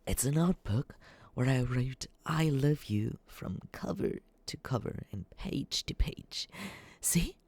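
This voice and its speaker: An obsessive, lovesick young man, acted by a male ASMR performer, awkward and sweetly creepy. His husky voice lingers slowly over words and slips into soft, breathy asides. The pitch wanders up and down as he dotes nervously on the listener. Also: lively intonation, dry recording, full character voice. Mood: eager, adoring. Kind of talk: ASMR roleplay.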